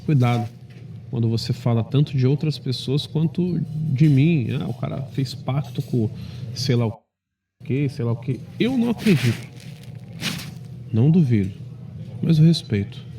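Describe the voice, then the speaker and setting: A man's voice: on the high side, gravelly, dark tone. A man in his thirties, a businessman, speaking in an assertive, slangy and defensive way in a casual monologue to camera. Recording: distant mic with faint vehicle noise.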